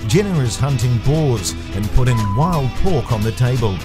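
A man's voice: mid-range voice, expressive, moderate pace. A man reading a scripted, dry, tongue-in-cheek voice-over narration. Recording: voice-over with loud music.

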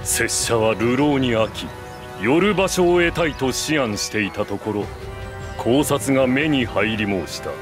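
An actor playing a grave, honourable bearded warrior in a video game, with solemn, archaic formal speech. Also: male voice, mid-range voice, lively intonation, a little slow, music in the background, lightly acted. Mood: grave, respectful. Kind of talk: video game cutscene voice acting.

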